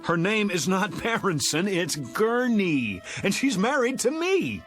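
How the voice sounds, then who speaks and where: A fairly high, very animated voice plays a man of forty insisting on his identity. He sounds agitated, defiant and sarcastic in a dramatic dialogue. Soft music sits faintly underneath. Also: measured pace, heavily acted. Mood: exasperated. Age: 40s. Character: indignant forty-year-old man desperate to prove his identity. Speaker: actor.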